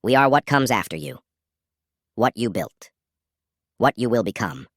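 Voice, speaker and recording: A man acts out a machine mind mimicking a human in a horror tale. It is cold and menacing. Its pitch moves widely, and the sound is very dry. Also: very animated, measured pace, no echo. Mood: cold menace. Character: eerie AI echo of a man, coldly menacing.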